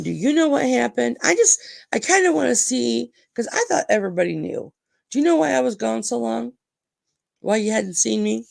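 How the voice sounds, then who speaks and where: Gravelly groggy-voiced woman auction host. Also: on the high side. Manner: chatty, calls viewers honey. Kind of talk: live-stream sales chat.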